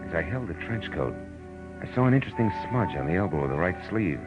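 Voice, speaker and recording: Hard-boiled male private eye, American, low and clipped, sardonic; muffled vintage radio drama. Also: big pitch swings, fast. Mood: grim narration. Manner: clipped, wisecracking, slangy. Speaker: detective lead and first-person narrator. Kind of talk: old-time radio noir acting and narration. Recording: muffled old radio recording, music behind.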